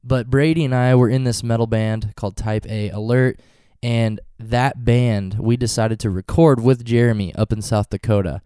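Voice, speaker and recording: A man's voice: medium pitch, fairly even intonation, normal speed, few pauses, mellow, smooth, no echo, studio quality. Stuffy-nosed man, rambling vlog chat. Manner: rambling, goofy tangents and jokes. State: stuffy from a cold. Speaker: vlogger and touring band musician.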